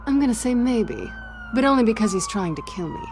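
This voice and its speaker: Sly, expressive female thief. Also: high-pitched, brisk, background noise. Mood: wry, guarded.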